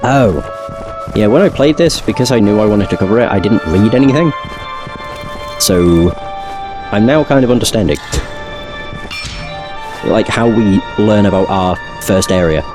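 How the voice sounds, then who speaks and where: Male game streamer, casual and loose, voice lower than his usual from a recent illness, over audible game music. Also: a little slow, long pauses. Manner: chatty, relaxed, occasional swearing. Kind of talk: casual gaming commentary. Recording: game music playing behind.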